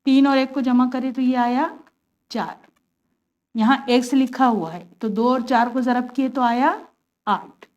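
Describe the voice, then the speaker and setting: A woman's voice: high-pitched, fairly even intonation, measured pace, darkish tone, dull audio. Woman teaching a maths lesson, patient. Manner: patient, step-by-step, asks and answers her own questions. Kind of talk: classroom lesson, explaining worked examples.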